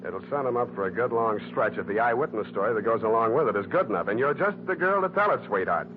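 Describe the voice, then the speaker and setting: Male actor as hard-boiled private eye, cocky, wry, animated, 1940s radio drama, very noisy vintage sound. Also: mid-range voice, fast, phone-quality sound, close to an everyday voice. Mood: cool, wry. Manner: wry, glib.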